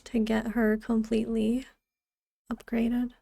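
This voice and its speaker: A woman casually chatting on a game livestream, playful and excitable, often repeating her phrases. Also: fairly high pitch, slow pace, gravelly, dry recording, studio quality, soft background music. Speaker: game streamer. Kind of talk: casual livestream chat while playing a gacha game.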